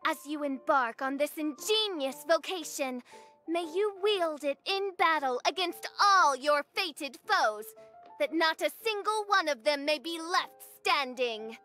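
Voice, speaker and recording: A grandiose fantasy princess girl for an anime game. The voice is very high and bright. She declaims slowly, with pompous archaic flourishes and plenty of melodrama. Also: expressive, faint music behind, heavily acted. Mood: imperious, grand. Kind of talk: anime video game acting.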